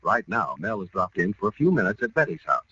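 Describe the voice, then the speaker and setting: A man's voice: lowish voice, very animated, fast talker, pushed and bright, husky, distant mic. A man with an American accent setting the scene as announcer in a 1940s radio show. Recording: noisy, muffled old radio recording.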